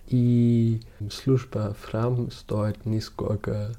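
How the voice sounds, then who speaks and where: Slow, low-voiced man with a foreign accent. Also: flat delivery, mellow. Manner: halting, searching for words. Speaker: interview guest, documentary photographer. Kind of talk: conversational interview.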